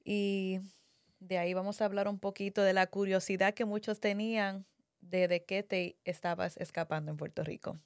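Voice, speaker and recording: Woman, 30s, English native speaker, podcast co-host. Calm, mixes in English. Smooth, clean voice, dry room. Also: medium pitch, moderate pace, no echo. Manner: calm, slips English words into Spanish. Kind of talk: casual personal chat.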